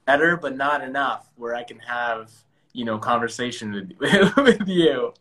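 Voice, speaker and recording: A man's voice: mid-range voice, unhurried, a bit bright. A man whose first language is Punjabi hosting a live-stream interview, enthusiastic and often repeating words as he starts sentences.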